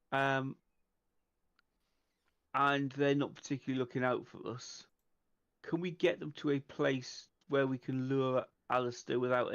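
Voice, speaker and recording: Slightly dull audio carries a man's creaky, mid-pitched voice. He talks tactics casually as a player at a tabletop roleplaying game.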